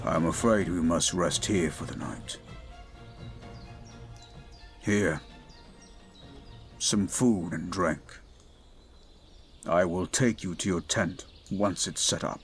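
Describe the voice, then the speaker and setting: A man voicing a towering male goliath bodyguard who is stoic, dutiful and protective, speaking in a formal, measured, commanding way in an audio roleplay. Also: low-pitched, soft background music. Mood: stern.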